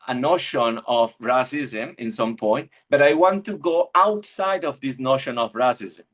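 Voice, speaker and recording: A middle-aged man speaks over a video call, presenting a webinar talk on church life. His delivery is reflective and searching, with frequent restarts as he finds his words. His voice sits at a medium pitch. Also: a bit bright. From Argentina.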